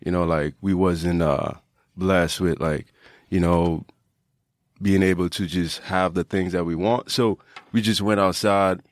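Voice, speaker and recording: A man's voice: sits low, no room sound, studio quality. A man from Trinidad, a fitness coach and podcast guest, telling his own story in a casual, reflective, conversational way.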